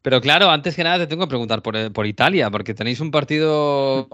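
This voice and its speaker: A man's voice: mid-range voice, fast, fairly bright tone, no echo, highs cut off. A man with an accent from Spain hosting a sports show, interviewing in a casual, conversational way.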